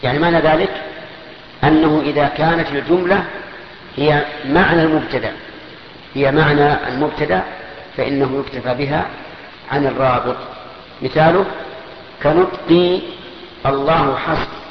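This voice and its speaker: Man teaching grammar in an echoey hall. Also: on the high side. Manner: slow, methodical, parsing sentences step by step; poses questions and answers them himself. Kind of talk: classical Arabic grammar lesson. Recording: echoey hall, dull lo-fi sound with faint noise.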